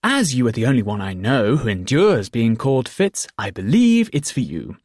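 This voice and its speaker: A man voicing a strange, cryptic male court fool in a high piping voice, chanting and enunciating elaborately. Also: wildly swooping pitch, moderate pace, a little mellow, no room sound, clean studio sound. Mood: exasperated, arch.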